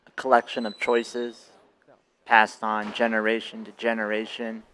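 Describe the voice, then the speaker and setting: A man speaks in short reflective fragments. His voice is fairly low and extremely even, with faint noise behind it. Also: measured pace, poor audio. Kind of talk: terse reflective statements.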